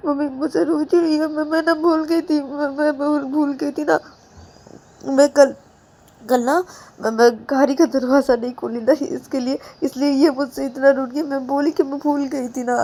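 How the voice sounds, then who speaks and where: A woman's voice: high-pitched, warm tone, voice pushed up. A woman voicing a distraught young character in an audio story, pleading childishly through tears with stammered, repeated whining phrases. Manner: stammering, repetitive, whining pleas. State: crying. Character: distraught young person, pleading childishly beside unconscious friend.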